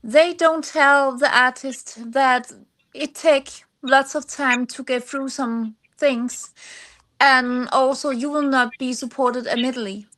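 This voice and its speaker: Female, Danish accent, high-pitched voice, podcast guest, halting non-native English, personal conversational answers. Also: steady tone, fairly bright tone, rough recording. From Denmark. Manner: halting, searching for English words. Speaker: singer-songwriter guest.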